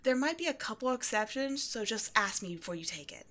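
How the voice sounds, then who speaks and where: A woman's voice: fairly high pitch, animated, fairly quick, sharp tone. A woman playing an upbeat, quirky shop owner in an ASMR roleplay, talking casually and breezily, offhand about eerie things. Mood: chatty, upbeat. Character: upbeat, quirky young woman running a mysterious occult shop. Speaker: shop owner character speaking to a new employee. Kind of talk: ASMR roleplay, casual one-sided conversation.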